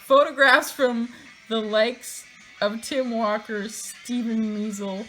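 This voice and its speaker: A woman's voice: a little high, steady tone, takes it slowly, a bit bright, soft background music, poor audio. A woman reading a book aloud in a theatrical, mocking way, breaking into casual, sardonic asides of her own.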